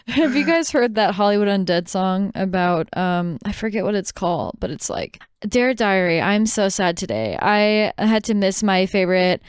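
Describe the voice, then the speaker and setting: Clear-voiced woman in casual podcast chat. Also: mid-range voice, lively intonation, moderate pace, no room sound, studio quality. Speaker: podcast co-host.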